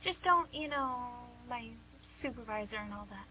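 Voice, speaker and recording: Woman acting a bright, high-voiced young questioner. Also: lively intonation, moderate pace, slightly rough, full character voice, low-quality recording. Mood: flustered. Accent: American. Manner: questioning, conversational. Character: young, inquisitive, gently probing female listener. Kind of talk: radio play acting.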